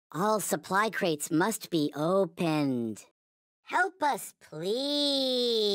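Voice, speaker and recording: A man voicing a small, naive, reverent toy space alien in a video game, with a high childlike cartoon voice and solemn, stilted, formal phrasing. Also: a bit bright, no echo, studio quality, full character voice. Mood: worried, pleading. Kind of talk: video game character voice lines.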